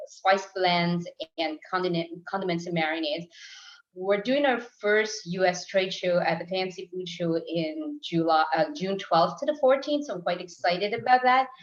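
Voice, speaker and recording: Woman from new york on a muffled echoey call, chatty intro, lowish voice. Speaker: business owner. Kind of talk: introducing herself in a meeting.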